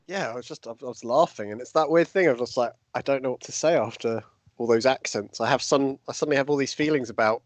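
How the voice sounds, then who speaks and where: A man's voice: mid-range voice, fast, no room sound. A man co-hosting a live stream, chatting in a wry, rambling way. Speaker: co-host. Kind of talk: casual live-stream chat.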